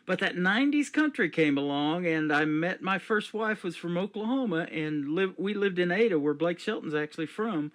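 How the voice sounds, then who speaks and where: Chatty high-voiced male radio host. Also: expressive, moderate pace, few pauses, a bit bright. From United States. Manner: chatty, enthusiastic, frequent fillers. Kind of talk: casual radio interview.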